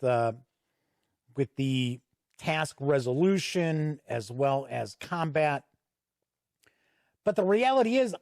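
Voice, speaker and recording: Casting: man, middle-aged, a little above average pitch, conversational tabletop reviewer explaining things plainly, very dry recording. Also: darkish tone, no room sound. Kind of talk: casual review commentary, explaining rules.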